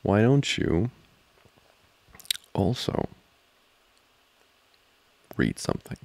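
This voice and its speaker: A man with a low, heavily creaky voice plays a flustered studying boyfriend in an ASMR roleplay. He talks slowly and hesitantly, close to the mic, with pitch that rises and dips often. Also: very animated, darkish tone, no echo. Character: flustered, distracted studying boyfriend, teasing and affectionate. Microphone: close microphone, dry.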